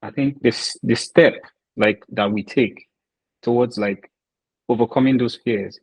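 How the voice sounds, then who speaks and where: A man's voice: medium pitch, unhurried, breathy edge, no room sound, rough recording. A man hosting a podcast, talking reflectively with lots of fillers and hesitations. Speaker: podcast host. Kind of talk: casual podcast interview.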